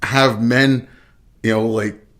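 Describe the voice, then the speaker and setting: A man's voice: mid-range voice, steady tone, takes it slowly, fairly bright tone. A man born in India and raised in Canada, a guest talking casually and irreverently, piling up examples. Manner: chatty and irreverent, piles up examples. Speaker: guest, comedy video maker. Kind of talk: casual conversational interview.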